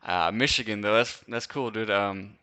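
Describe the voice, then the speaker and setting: A young man from Wisconsin hosts a casual live-stream Q&A, his voice bright and crisp and pitched a little low. He talks loosely and slangily, tossing in "dude" and "bro" and laughing often. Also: moderate pace. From Wisconsin, USA. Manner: laid-back slangy chat, lots of "dude" and "bro", frequent laughs. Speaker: host.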